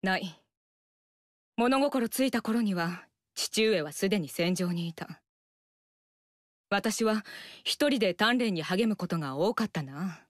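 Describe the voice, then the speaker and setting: A woman's voice: mid-range voice, animated, slow, long pauses, dry recording, clean studio sound. An actress voicing a stern, earnest young woman warrior in a video game, speaking in a formal, stiff manner. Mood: stiff sincere. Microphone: studio mic, dry.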